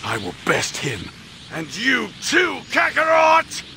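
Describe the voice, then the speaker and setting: Gruff, haughty anime warrior prince. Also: male voice, very high voice, huge pitch swings, slow, noisy background, full character voice. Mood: furious, straining. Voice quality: gruff, gravelly. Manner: haughty, forceful. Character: proud, hot-tempered adult alien warrior prince. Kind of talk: anime game battle acting.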